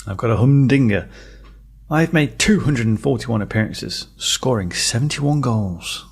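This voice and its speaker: Husky, creaky-voiced man. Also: mid-range voice, expressive, a little slow. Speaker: football podcast host. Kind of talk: casual quiz chat.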